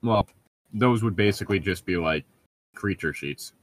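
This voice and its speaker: A man's voice: low voice, moderate pace, no echo. A man co-hosting a podcast in casual banter, with a sardonic, sweary way of talking. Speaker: podcast co-host.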